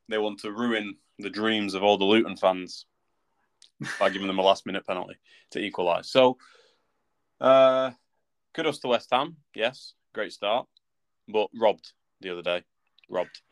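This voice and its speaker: A man's voice: on the low side, fairly even intonation, measured pace, long pauses, a bit bright. A man chatting casually as a football podcast co-host, with a dry, teasing, mock-indignant way of talking. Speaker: football podcast co-host and Manchester United fan.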